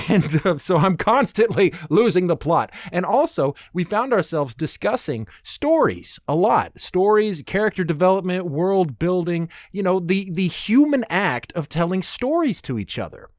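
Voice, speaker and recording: A man's voice: high voice, very animated, unhurried, few pauses, a little mellow, no room sound. Rambling man, close dry sound. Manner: rambling, loose, tangent-prone. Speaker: podcast host. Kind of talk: casual solo podcast monologue.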